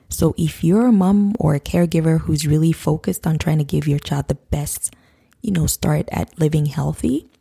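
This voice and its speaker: A woman hosting a parenting podcast, talking straight to listeners while croaky from a cold. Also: sits low, dark tone. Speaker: podcast host, nutrition counselor. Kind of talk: scripted podcast intro, then casual talk to listeners.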